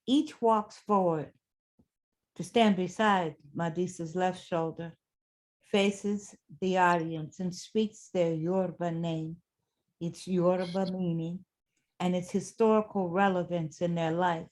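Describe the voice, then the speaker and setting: A woman's voice: lowish voice, darkish tone. A woman reading stage directions as scripted narration for a play.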